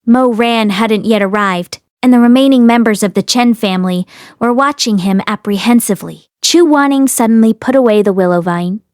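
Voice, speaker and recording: High-voiced woman reading an audiobook. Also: moderate pace, darkish tone, dry recording, clean studio sound. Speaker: audiobook reader and host. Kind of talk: expressive scripted narration.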